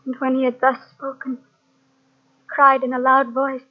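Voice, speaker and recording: A woman's voice: sits high, monotone, measured pace. An actress playing an earnest, devout young woman with a solemn, searching manner, in old-time radio drama style. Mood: solemn, reverent. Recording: dull lo-fi old radio cassette transfer.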